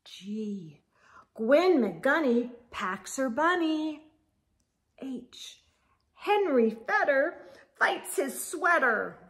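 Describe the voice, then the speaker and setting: A middle-aged woman reading a picture book aloud to children in an animated, sing-song storyteller manner. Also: high-pitched, extremely slow. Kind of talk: expressive children's read-aloud. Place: indoor room lined with bookshelves.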